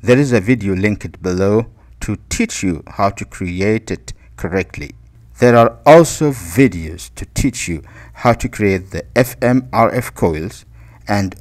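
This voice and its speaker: A man narrating a hands-on tutorial as an instructor, going step by step, repeating instructions and checking in as he goes. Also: fairly low pitch. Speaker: DIY electronics instructor.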